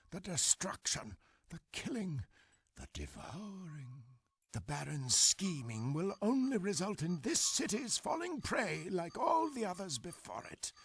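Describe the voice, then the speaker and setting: An actor voicing a dignified, scheming old man in a video game, with a formal, deliberate and theatrical manner. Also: a little high, fairly bright tone, husky, full character voice. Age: older. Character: scheming, dignified old male resistance elder. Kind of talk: dramatic video game cutscene acting.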